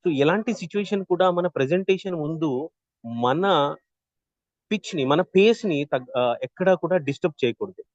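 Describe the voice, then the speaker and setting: A man teaching an interactive online class as a trainer, explaining with examples and often checking in with a quick "right?". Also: a little high, lively intonation, dry recording, lo-fi sound. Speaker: voice modulation trainer.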